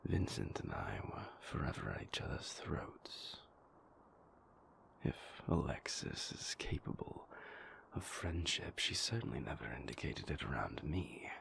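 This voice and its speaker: A man playing a brooding, remorseful vampire boyfriend in an intimate audio roleplay, with a breathy, gravelly near-whisper and halting, formal, confessional phrasing. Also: very deep voice, very animated, fairly bright tone, heavily acted. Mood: weary, confessional. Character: brooding, remorseful male vampire boyfriend, former royal enforcer.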